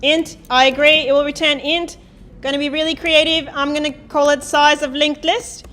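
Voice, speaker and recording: Woman, high-pitched, loud voice, university lecturer, live coding, asks the class questions. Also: level tone, moderate pace. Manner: chatty, asks the class questions, thinks aloud while typing. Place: lecture hall.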